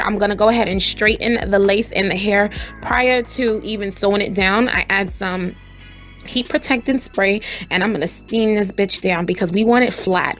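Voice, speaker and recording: A young woman with a slightly raspy voice talks viewers through a hair tutorial in a chatty, slangy, friendly way, speaking to them directly. Her pitch sits in an ordinary middle range. Soft music plays quietly underneath. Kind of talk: casual tutorial narration.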